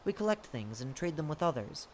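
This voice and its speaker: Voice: medium pitch, big pitch swings, fairly quick, a little mellow, a little hiss or hum, lightly acted. A performer voicing a timid, bewildered human scout in an audio drama, hesitant and apologetic. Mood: nervous, earnest.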